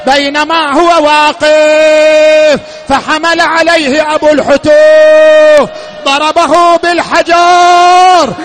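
Male lament reciter, strained high register, unhurried, half-chanted and full of sorrow. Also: level tone, a crowd in the background. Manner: emotional, wailing, drawn-out and repetitive delivery. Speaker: religious eulogy reciter. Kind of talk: mournful Shia lament sermon, half-chanted.

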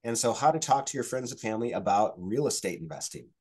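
A man's voice: mid-range voice, animated, fast talker, a little mellow, husky. A man who is a regular co-host on a podcast, chatting casually in an easygoing way. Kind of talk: casual conversational chat.

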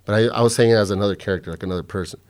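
A man's voice: lowish voice, racing, clean tone. A middle-aged man from the American Southwest hosting a podcast, talking loosely and profanely in casual chat, a little drunk and hungover. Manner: loose, profane, rambling storytelling. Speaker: podcast host.

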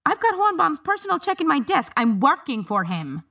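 A woman's voice: high-pitched, very animated, normal speed, vocal fry, sharp tone, pitched above the performer's own voice, full character voice. A woman reading an audiobook, voicing a stubborn young woman who speaks insistently and indignantly.

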